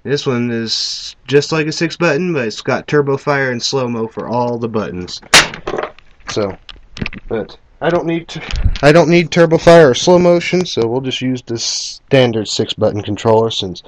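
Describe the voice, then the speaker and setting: A man hosts a casual, homemade demo of gaming hardware in a mid-range voice. He rambles bluntly and is quick to swear. The camera recording has noticeable noise behind him. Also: medium pitch, lively intonation. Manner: rambling, blunt, foul-mouthed. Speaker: video host. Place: cluttered room at home. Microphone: camera microphone at varying distance. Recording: noisy home camera recording with clicks.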